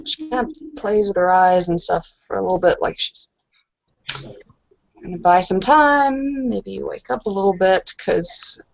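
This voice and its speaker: A woman's voice: mid-range voice, unhurried, vocal fry, lo-fi sound. A woman casually narrating her character's actions as a player in a tabletop roleplaying game.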